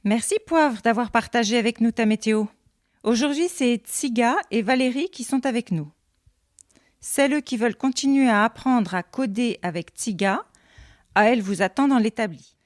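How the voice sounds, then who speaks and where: Woman as a kind teacher talking to little kids, gentle, highish, dry recording, audio drama. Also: normal speed, dry recording, lightly acted. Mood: encouraging. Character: kind, encouraging female schoolteacher leading young children's group. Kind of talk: French audio fiction drama.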